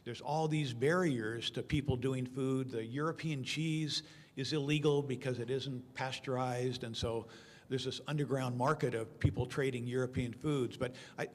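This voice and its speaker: A man's voice: medium pitch, steady tone, normal speed. A man speaking informally on a conference panel, explaining with anecdotes and examples in a reverberant hall. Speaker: panel speaker answering audience questions. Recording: long hall reverb over conference sound system.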